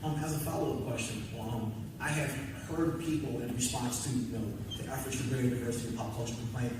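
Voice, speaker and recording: A man's voice: mid-range voice, fairly even intonation. Man moderating a panel in an echoey hall. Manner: halting, repeats words as he builds questions. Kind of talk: conversational questioning at a live panel. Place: convention panel room. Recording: echoey hall, distant mic, clear background noise.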